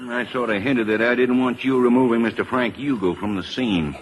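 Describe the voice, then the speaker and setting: A man's voice: medium pitch, a little fast, lightly acted. An actor playing a wry, patient American lawman with folksy understatement in a 1940s radio drama. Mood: cool, needling. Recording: reverberant old radio broadcast.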